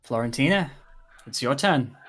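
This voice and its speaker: A man's voice: mid-range voice, animated, moderate pace, fairly bright tone. A man running a tabletop game, narrating and chatting casually with his players. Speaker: game master.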